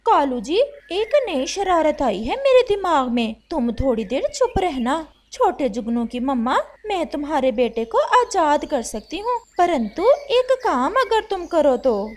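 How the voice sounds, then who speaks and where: Cartoon crow wife, played by a woman: covetous, scheming, demanding, high and animated. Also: a little slow, hardly any pauses. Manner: demanding, wheedling. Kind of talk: Hindi animated moral story dialogue.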